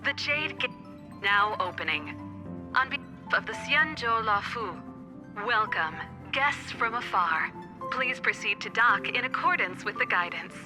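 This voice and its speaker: Slow automated spaceport announcer. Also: female voice, on the high side, animated. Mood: formal welcome. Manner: formal, detached, repetitive. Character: polite automated female spaceport announcement system. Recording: game music behind the voice.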